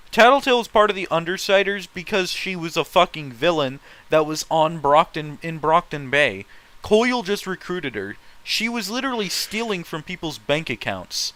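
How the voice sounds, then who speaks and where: A man's voice: a little high, sharp tone, low-quality recording. A man reading fan fiction aloud and adding casual, blunt, opinionated commentary with heavy swearing.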